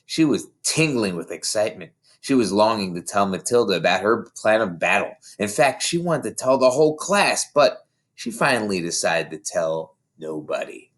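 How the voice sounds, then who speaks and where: A man with a fairly low voice reads a children's storybook aloud. His delivery is expressive, with pitch that moves around in a very animated way. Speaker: story reader. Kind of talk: children's audiobook read aloud.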